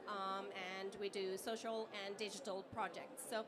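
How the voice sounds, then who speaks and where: A woman's voice: medium pitch, flat delivery, moderate pace, background noise. A woman from Japan, a startup founder, speaking as a panelist and searching for words with many fillers. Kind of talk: conference panel remarks.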